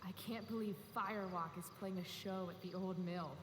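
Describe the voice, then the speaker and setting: Actress for a rebellious teen punk girl, lowish sardonic voice, swearing freely, over a loud chorus of night crickets. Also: steady tone, moderate pace, noisy background, natural voice. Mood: sarcastic. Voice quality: sarcastic, profane drawl. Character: rebellious punk teenage girl, sarcastic and restless. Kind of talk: video game dialogue. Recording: loud game ambience of crickets.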